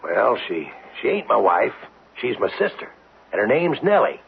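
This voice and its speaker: An actor in a radio drama playing a wary, menacing outlaw with a rough rural American voice. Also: male voice, lowish voice, huge pitch swings, normal speed, slight background noise, full character voice. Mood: suspicious. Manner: suspicious, drawling folksy threats. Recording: thin phone-like old radio.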